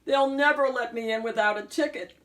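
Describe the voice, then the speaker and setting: A woman's voice: a little high, brisk, a bit bright, voice pushed up, natural voice. A woman voicing a lean, mangy old male wolf from a picture book, pompous and hungry, with grandiose, emphatic speech. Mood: scheming. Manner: grandiose, emphatic, genteel wording.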